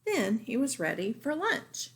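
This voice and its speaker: A woman's voice: sits high, a little slow. A woman teacher reading a picture book aloud expressively for young children. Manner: expressive, pointing out pictures to listeners.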